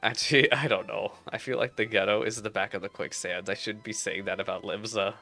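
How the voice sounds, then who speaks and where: A man with a fairly low voice chats casually as he plays through a game. He reacts in a playful, slangy way, with some music playing behind him. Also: steady tone, a little fast, creaky, bright, forceful tone. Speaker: game streamer. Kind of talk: casual playthrough commentary with read-aloud dialogue.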